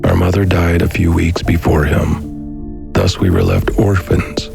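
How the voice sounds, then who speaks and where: A man reading a scripted documentary narration in a measured, formal manner. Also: low voice, level tone, gravelly. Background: music.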